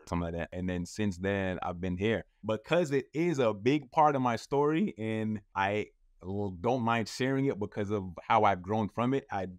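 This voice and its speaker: A man's voice: on the low side, normal speed, dry recording. A man in his thirties, a guest in a casual interview, telling his story in a loose, rambling way full of fillers. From United States. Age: 30s.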